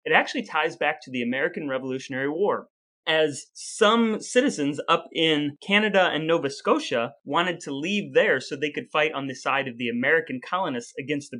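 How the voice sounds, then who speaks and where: Podcast co-host, male, fairly high and forceful voice, talkative explainer. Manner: explains at length, asks his brother questions. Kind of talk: casual chat.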